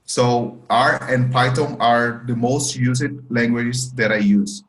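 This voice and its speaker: A man's voice: mid-range voice, steady tone, takes it slowly, a bit bright, reverberant, rough recording. A man whose first language is Portuguese, a student data engineer answering interview questions carefully, repeating words as he searches for them. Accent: Portuguese-speaking.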